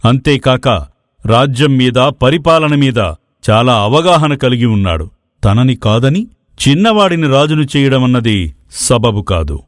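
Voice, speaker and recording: A man voicing a patient, fatherly maharaja with measured, formal speech in a dramatized moral story. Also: medium pitch, expressive, no echo, clean studio sound, close to an everyday voice. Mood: troubled, reasoning.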